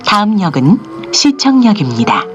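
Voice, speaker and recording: A woman's voice: mid-range voice, very animated, measured pace, muffled recording, a little hiss or hum. A woman reading formal, polite train station announcements in Korean, played over a train's speaker. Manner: formal, polite, evenly paced. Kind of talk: recorded transit announcement. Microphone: recorded on a handheld device inside the train. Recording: onboard speaker with chimes.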